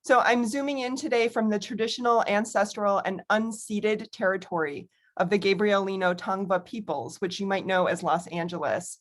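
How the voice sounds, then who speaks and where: American woman, middle-aged author on a video call, candid and personable, medium pitch. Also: fairly even intonation, normal speed, hardly any pauses. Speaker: author and academic speaker. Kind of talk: prepared talk with conversational asides.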